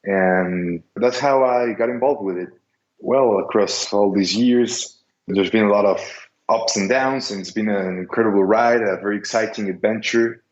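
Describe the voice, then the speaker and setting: Casting note: young Mexican man, Spanish accent, low voice, careful non-native English, roomy muffled audio. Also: moderate pace. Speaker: guest, business seller. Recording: echoey, dull lo-fi line.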